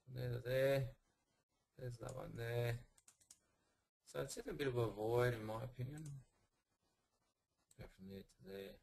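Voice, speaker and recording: A man with a gravelly, fry-laden voice gone husky from overuse talks a trading room through market charts. He halts often, fills gaps with ums, and points from one level to the next. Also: medium pitch, darkish tone, a little hiss or hum. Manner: halting, with many fillers and pointing phrases. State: hoarse, losing his voice. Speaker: forex trading room presenter. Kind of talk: live chart analysis talk.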